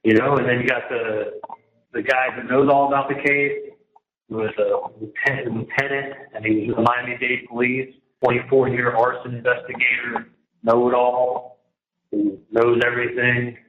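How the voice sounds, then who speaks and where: An exasperated man talks at length on a casual phone call, circling back and repeating himself. The recording is roomy and muffled, with faint noise behind the voice. His pitch sits in the middle. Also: flat delivery, normal speed. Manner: exasperated, repetitive, recounting at length. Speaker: prison inmate on a phone call. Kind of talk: casual phone conversation, venting. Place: prison, on a recorded phone line. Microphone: telephone handset.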